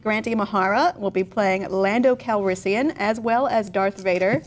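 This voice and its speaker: A woman's voice: mid-range voice, normal speed. A woman host chatting casually and comically as she explains and introduces things.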